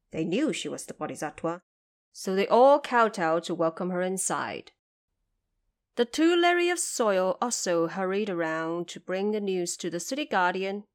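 A woman reading scripted storytelling narration for a fantasy audio drama. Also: on the low side.

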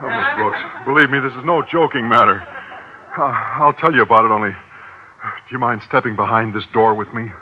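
A man's voice: medium pitch, a little fast, lightly acted. An American actor playing a bashful, earnest man who teaches biology, speaking hesitantly in a 1950s radio sitcom. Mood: nervous. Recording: dull, hissy old radio broadcast.

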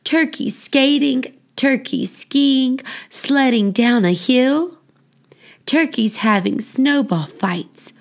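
Creaky-voiced woman hosting children's storytime. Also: sits high, lively intonation, slow pace. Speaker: children's storybook reader and podcast host. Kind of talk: read-aloud children's story with casual intro and outro.

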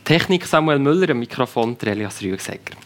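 A man hosting and moderating a generational talk show, asking calm, low-key questions. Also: brisk, a little hiss or hum. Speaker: podcast host, moderator.

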